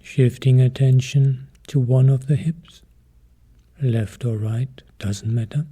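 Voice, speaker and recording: Guy teaching meditation, really dark soft-edged voice, slow n calm, leaves gaps between short phrases. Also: mid-range voice, slightly rough. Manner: measured, calm, sparse phrases with pauses. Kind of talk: guided meditation instruction.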